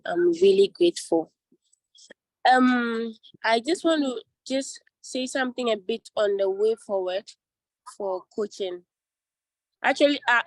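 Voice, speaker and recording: A woman's voice: medium pitch, no echo, rough recording. A young woman student from Ghana, speaking gratefully and a little hesitantly on a video call. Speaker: university student.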